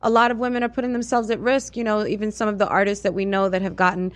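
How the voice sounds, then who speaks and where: A woman's voice: medium pitch, level tone, fast. An American woman hosting a casual radio discussion, speaking in an emphatic, conversational way and checking that listeners follow. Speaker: radio show host. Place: radio studio. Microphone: studio microphone.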